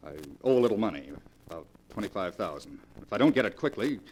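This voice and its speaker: An actor playing a scheming, debt-ridden gambler nephew in 1940s radio mystery style, with insinuating, deliberate delivery. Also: male voice, fairly low pitch, very animated, darkish tone. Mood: pressing, desperate. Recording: noisy old 1940s radio recording.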